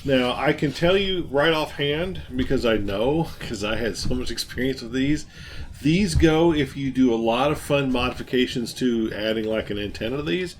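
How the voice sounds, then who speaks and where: A man explaining old gear casually as a show host, in a halting, rambling, enthusiastic way. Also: mid-range voice, low-quality recording. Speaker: host of a hobby radio show. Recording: light background noise.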